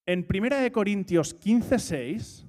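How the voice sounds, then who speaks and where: A man's voice: high-pitched, expressive, slow, darkish tone, slight background noise. A man preaching a sermon in church. He asks rhetorical questions, repeats his key points and jokes now and then.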